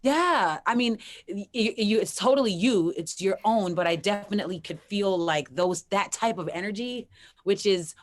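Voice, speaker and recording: A woman hosting an online open mic, raspy voice, gushing casually with many restarts. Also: medium pitch, normal speed. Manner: effusive, chatty with many restarts. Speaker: host. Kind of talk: casual chat.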